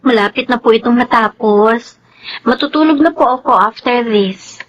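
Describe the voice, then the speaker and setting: A woman's voice: a little high, normal speed, natural voice. Muffled, polite young student acted by a woman. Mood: polite, reassuring. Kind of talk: radio drama acting. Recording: dull recording with faint noise.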